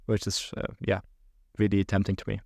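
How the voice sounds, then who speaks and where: A man's voice: fairly low pitch, monotone, gravelly, a little mellow, no echo, clean studio sound. A young man from Germany with a German accent, a podcast guest talking through his career with many fillers and restarts. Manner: halting, many restarts and fillers, laughs between thoughts. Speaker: guest, account executive. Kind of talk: podcast interview.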